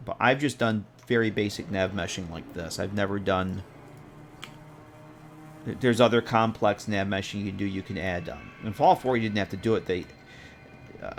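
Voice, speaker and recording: A man's voice: fairly low pitch, vocal fry, a little hiss or hum. Tired, congested man thinking aloud. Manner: rambling, thinking aloud, self-correcting. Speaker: modding streamer. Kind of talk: casual live-stream commentary while working.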